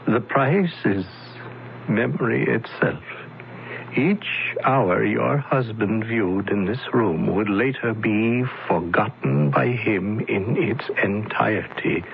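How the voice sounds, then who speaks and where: An actor playing a calm, solemn male alien envoy in a radio drama, speaking slowly and formally. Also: lowish voice, gravelly, a little hiss or hum. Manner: slow, measured, formal. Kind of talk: 1970s radio drama acting. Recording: dull lo-fi old radio broadcast.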